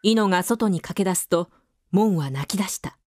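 A woman's voice: mid-range voice, normal speed, a little mellow, no room sound, clean studio sound. A woman giving scripted narration for a radio drama as its narrator.

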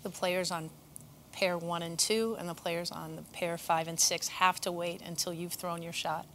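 A middle-aged woman coach talking through bowling rules in a relaxed, conversational way, drawing on her own experience, in a bowling center. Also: fairly low pitch, normal speed, slight background noise. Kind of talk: instructional chat. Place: bowling center, seated at a table.

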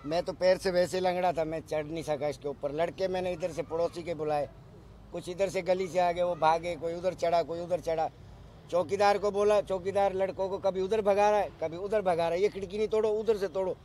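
Middle-aged man, fairly high voice, urgent, faint street noise. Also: steady tone, brisk, dark tone. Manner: urgent, recounting step by step. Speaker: neighbor and eyewitness. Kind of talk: eyewitness account to press. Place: outdoors in a crowded street. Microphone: cluster of press microphones.

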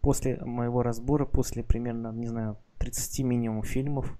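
Flat, mellow-voiced man. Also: medium pitch. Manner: measured, flat, hesitant with fillers. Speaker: stream guest. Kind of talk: casual stream chat.

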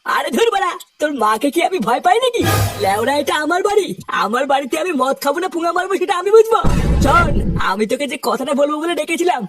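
A man voicing a hard-drinking, henpecked old father in a comedy cartoon, crude and blustering, with a pitched-up voice. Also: animated, quick pace, distant mic. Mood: defiant, boastful. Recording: music bed behind the voice.

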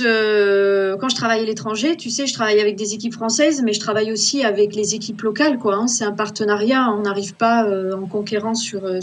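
A woman in her thirties, an archaeological illustrator, talking casually on a video call from a small room at home, with many fillers and restarts. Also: mid-range voice. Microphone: laptop webcam on a video call.

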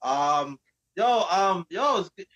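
A man hosting a live open mic stream, chatting excitedly on a video call and rambling as he explains the rules. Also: high voice, slow pace, highs cut off. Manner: excitable, rambling, restarts a lot. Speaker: host of an open mic livestream. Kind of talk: casual live-stream chat.

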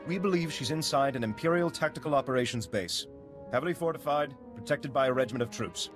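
An actor playing an earnest, insistent resistance leader in a video game, serious and deliberate. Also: male voice, mid-range voice, music in the background, close to an everyday voice. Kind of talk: video game cutscene acting.

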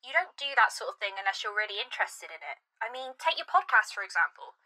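Lady playing a chatty folklore fan, talks fast, sharp bright voice. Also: mid-range voice, very animated, poor audio. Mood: eager. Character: eager female folklore enthusiast, chatty.

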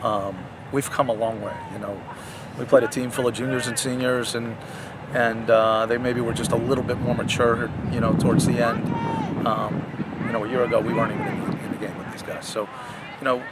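Halting older coach, outdoors. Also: male voice, mid-range voice, level tone, heavy background noise. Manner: halting, many restarts and fillers. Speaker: head soccer coach. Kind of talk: post-game interview. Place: outdoor soccer field at night.

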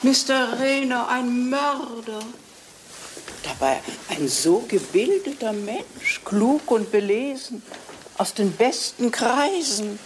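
A woman's voice: on the high side, big pitch swings, slow pace, slight background noise. An actress playing a genteel, astonished lady in a German radio crime drama.

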